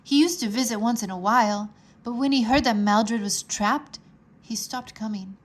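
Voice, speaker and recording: Storybook boy wizard, played by a woman: earnest, wistful but determined, fairly high voice, hurried delivery. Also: natural voice. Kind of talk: children's storybook character voice.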